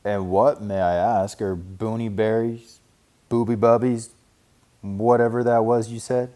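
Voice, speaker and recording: A man's voice: lowish voice, level tone, measured pace, warm tone, lightly acted. A man reading a children's storybook, voicing a blustery, bemused father bear who stresses key words emphatically. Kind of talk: children's storybook read aloud.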